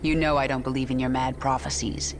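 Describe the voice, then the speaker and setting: A woman's voice: very deep voice, level tone, fairly quick, very noisy. An actress playing a cold, vengeful, defiant swarm queen in a video game, curt, scornful and commanding. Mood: scornful, brooding. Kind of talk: dramatic video game acting. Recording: music behind.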